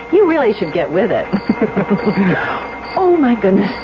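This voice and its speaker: An actress playing a poised, flirtatious and direct librarian in a radio drama. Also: female voice, medium pitch, sing-song, takes it slowly. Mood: playful, flirtatious. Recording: dull 1970s radio broadcast, faint noise.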